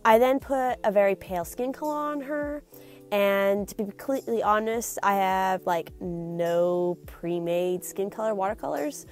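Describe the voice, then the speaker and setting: A woman's voice: medium pitch, a little slow, a little mellow, faint music behind. A young woman with a stuffy, nasal voice from a cold, chatting casually through a voice-over for her painting video, with lots of fillers and restarts.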